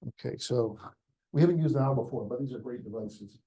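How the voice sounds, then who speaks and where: A man hosting a live pitch session in a crowded room, chatty and rambling with frequent restarts. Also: fairly quick, mellow, slightly rough, rough recording. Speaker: event host.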